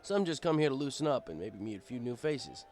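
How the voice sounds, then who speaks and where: A man's voice: medium pitch, big pitch swings, brisk, a little mellow, soft background music, lightly acted. A man playing an easygoing, fatherly pony bartender who tells his story in a wry, conversational way. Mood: friendly, welcoming. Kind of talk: first-person audiobook narration in character.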